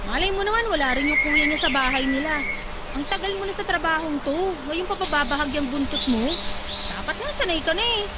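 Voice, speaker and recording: A woman's voice: high voice, lively intonation, a little fast, very noisy. An actress with a Manila Filipino accent playing a playful, chatty young shop worker who teases her friend in slangy radio drama dialogue. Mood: playful advising. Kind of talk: Tagalog radio drama acting. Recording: loud music bed. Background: loud background music.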